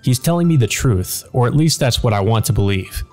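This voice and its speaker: A man's voice: medium pitch, lively intonation, brisk, soft background music. A man reading a visual novel aloud as dramatic scripted narration.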